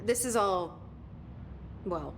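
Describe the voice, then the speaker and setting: A woman's voice: medium pitch, animated, takes it slowly, background noise. An actress playing a guarded, searching young woman with an American accent, in an understated, wry, terse way. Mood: guarded, curious. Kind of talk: naturalistic game acting, introspective monologue and dialogue.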